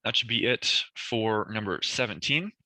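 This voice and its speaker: A young man tutoring a math review session, talking through problems step by step and correcting himself, his voice a bit worn as he clears his throat. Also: fairly low pitch, normal speed, bright, forceful tone, no echo, rough recording. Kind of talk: recorded review session lecture.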